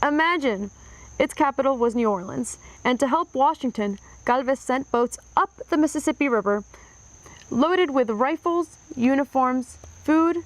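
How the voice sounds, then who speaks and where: A woman narrating a children's history book aloud with clear, expressive storytelling. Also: high-pitched, a little slow, dry recording, low-quality recording.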